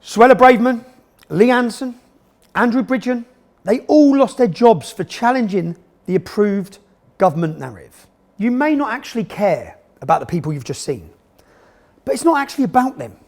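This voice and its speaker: A man presenting a documentary, narrating and interviewing in short, emphatic phrases. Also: high voice.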